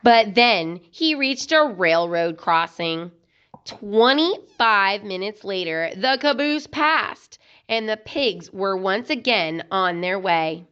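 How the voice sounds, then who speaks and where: A woman reading a children's book aloud in an expressive, deliberate storytelling style. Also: mid-range voice, clean tone, highs cut off.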